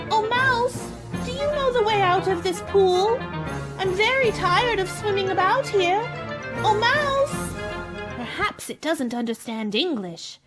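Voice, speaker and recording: A woman's voice: very high-pitched, heavily acted. A woman playing a polite, curious young girl in a radio play, talking with mannered wonder.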